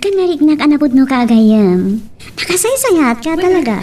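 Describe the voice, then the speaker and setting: A woman playing a warm young policewoman and loyal daughter in a radio drama, with an Ilocano Filipino accent. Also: sits high, big pitch swings, normal speed, recorded from a distance. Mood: warm, grateful.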